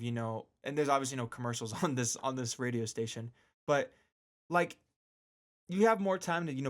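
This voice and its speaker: A man hosting a casual solo radio show, talking informally with slangy asides and repeating words for emphasis. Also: mid-range voice. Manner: emphatic repetition for stress.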